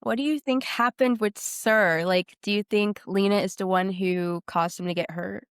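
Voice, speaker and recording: A woman's voice: medium pitch, steady tone, moderate pace, clear, resonant tone, no room sound, clean studio sound. A woman hosting a podcast, speaking in casual interview chat. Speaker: podcast host.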